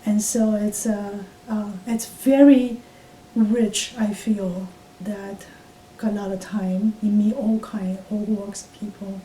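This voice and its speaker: A woman in her fifties from Taiwan with a Taiwanese accent, speaking English as a second language and recalling her past in an oral history interview, halting with many fillers and restarts. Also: warm tone. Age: 50s. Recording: roomy, distant mic with faint rumble.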